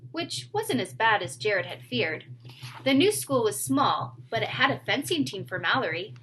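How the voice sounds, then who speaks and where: A woman's voice: mid-range voice, fairly bright tone, poor audio. A woman reads a children's story aloud in a mid-range voice, breaking off now and then for casual asides. The recording carries noise behind her. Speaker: storyteller reading a book aloud.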